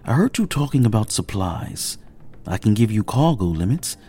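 An actor playing a weary, apologetic rocket engineer in a naturalistic audio drama. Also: male voice, fairly low pitch, very animated, normal speed, warm tone, slightly rough. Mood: frustrated, weary. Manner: downbeat, apologetic. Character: weary, overworked male rocket engineer leading a crew.

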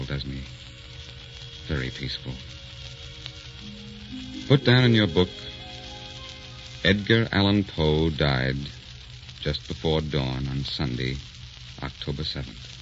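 An actor playing a grave, pitying man at a deathbed in a 1940s radio drama, measured, somber and hushed. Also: extremely low pitch, animated, full character voice. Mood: solemn. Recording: muffled 1940s radio recording with music.

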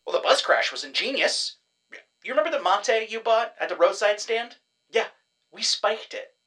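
A man voicing a boastful, giddy schemer in an audio drama, with a bright, sharp voice that leaps all over the place, swinging from loud crowing to sly whispers. He wheedles, gloats and teases. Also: high-pitched, very animated, moderate pace, full character voice. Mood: gloating. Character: boastful, giddy man running a rival disappearance agency.

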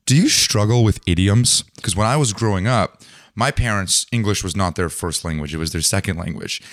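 A man with a fairly low voice hosts a podcast interview. He talks in a casual, conversational style as he puts questions to his guest. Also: brisk.

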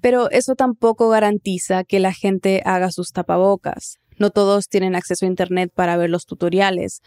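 A woman's voice: medium pitch, a little mellow, dry recording. A woman hosting a narrative news podcast, reading scripted narration and putting questions on a close mic. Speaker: podcast host.

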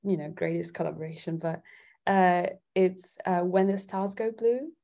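A woman's voice: fairly low pitch, normal speed, vocal fry, dark tone. A woman from Italy in a casual interview as a guest, thinking aloud and hesitating as she answers. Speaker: guest singer-songwriter.

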